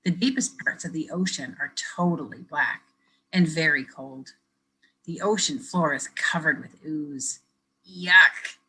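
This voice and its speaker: A woman educator reading a picture book aloud to children, pausing to ask them questions in a gentle, expressive way. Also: low voice, husky, low-quality recording. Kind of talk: children's read-aloud with teacher commentary.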